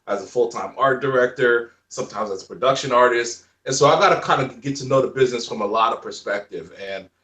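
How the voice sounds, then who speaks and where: A man in his forties from Haiti with a light Haitian accent, chatting as a guest in a relaxed, rambling way. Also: mid-range voice, fast talker, few pauses, reverberant, distant mic. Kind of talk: casual chat.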